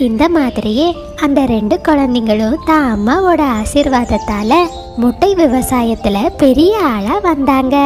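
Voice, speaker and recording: A woman's voice: high-pitched, a little mellow. A woman narrating a scripted moral story for children.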